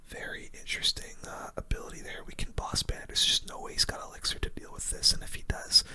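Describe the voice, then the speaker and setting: A gaming video host whispering ASMR commentary in a casual, chatty way with gamer slang. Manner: hushed, whispering throughout.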